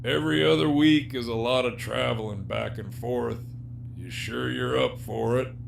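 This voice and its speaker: A man's voice: mid-range voice, fairly even intonation, normal speed, gravelly. A man acting in an audio drama as a jaded, sardonic, despairing prisoner villain with a profane, wry, teasing drawl. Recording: faint background hum and noise.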